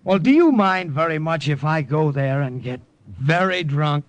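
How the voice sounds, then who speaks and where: A frantic soldier-spy. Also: male voice, a little high, expressive, measured pace, loud music behind the voice. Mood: bewildered. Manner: urgent, breathless, flustered. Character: desperate young male army sketch artist and spy. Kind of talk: 1940s radio acting. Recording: noisy old radio broadcast.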